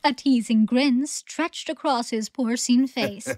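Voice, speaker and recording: High-voiced woman narrating a story. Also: slow, a little mellow, dry recording. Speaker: audiobook narrator. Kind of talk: scripted theatrical story narration.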